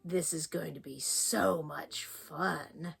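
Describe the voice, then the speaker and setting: An older woman with an East Coast accent talks slowly in a fairly low voice. She is chatty and encouraging as she walks viewers through a quilting lesson. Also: creaky, slightly rough. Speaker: quilting channel host. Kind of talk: casual quilting tutorial.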